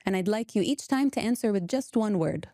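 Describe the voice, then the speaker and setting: Mellow-voiced woman hosting an interview. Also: mid-range voice, animated, brisk, no room sound, studio quality. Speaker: podcast host. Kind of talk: conversational interview.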